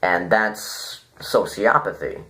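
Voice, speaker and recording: A man giving a casual, unscripted review, halting and rambling as he thinks aloud. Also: lowish voice, bright, forceful tone, low-quality recording. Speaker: online story reviewer.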